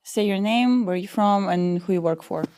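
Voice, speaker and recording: A woman's voice: mid-range voice, normal speed, a little mellow, dry recording. Woman, interviewer, casual questions, mid-range voice. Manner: prompting, conversational.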